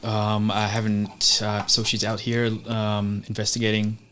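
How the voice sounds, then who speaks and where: A man's voice: on the low side, monotone, a little slow, sharp tone, a little hiss or hum. A man playing a worried brother looking for his missing sister in a tabletop roleplay, speaking hesitantly and with understatement. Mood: hesitant, concerned.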